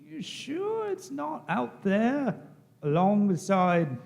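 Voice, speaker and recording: A man playing a brusque police desk sergeant in a radio play. His voice is high and jumps around with lively swings. He questions and echoes back what he hears, baffled. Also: takes it slowly, creaky, full character voice. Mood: brisk. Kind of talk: live radio-play acting.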